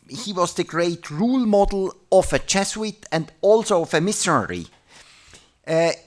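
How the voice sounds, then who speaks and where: A speaker from Switzerland, who is not an English speaker, chairing an academic panel and introducing the speakers in halting, hesitant English. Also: medium pitch. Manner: halting, searching for words with many pauses.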